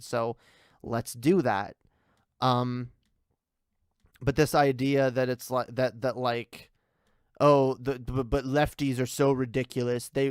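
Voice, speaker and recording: Casual man, clear voice, rambling commentary. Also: medium pitch, no room sound, clean studio sound. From Canada. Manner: rambling, repetitive, liberal use of "like" and swearing. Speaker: livestream host reacting to a video. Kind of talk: casual off-the-cuff livestream commentary.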